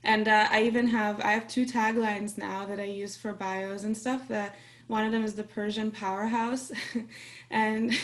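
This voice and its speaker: Woman from austria, farsi first language, easygoing intro on a video call, mid pitch. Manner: friendly, relaxed, laughs now and then. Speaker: workshop participant. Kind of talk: casual self-introduction.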